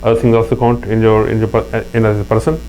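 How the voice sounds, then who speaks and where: A man's voice: lowish voice, flat delivery, quick pace, dark tone, poor audio. A middle-aged man from Pakistan with a Pakistani accent, telling stories in an earnest interview chat. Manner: earnest, anecdotal, with frequent restarts. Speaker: interview guest. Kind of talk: casual interview talk. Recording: faint mains hum.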